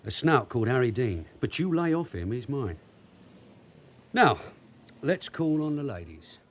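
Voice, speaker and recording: A man's voice: medium pitch, very animated, measured pace. A seasoned London detective sergeant in a radio crime drama, streetwise and bossy. His London-accented delivery swings up and down, with clipped, commanding phrasing that suits a man used to giving orders. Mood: bossy, brisk. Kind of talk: naturalistic British radio drama acting.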